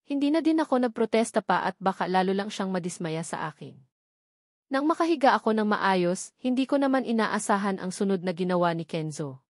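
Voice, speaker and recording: A woman narrates a scripted first-person story in the manner of an audiobook. Her voice has a middle pitch and is recorded dry, with very little room around it. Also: expressive, fairly quick, dry recording.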